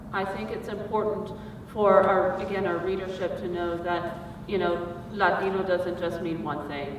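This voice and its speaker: A woman writer from Puerto Rico speaking thoughtfully on a panel, with many pauses and fillers. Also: on the low side, recorded from a distance. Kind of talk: panel discussion remarks. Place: conference hall. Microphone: far from the mic. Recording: echoey hall recorded from afar, noisy.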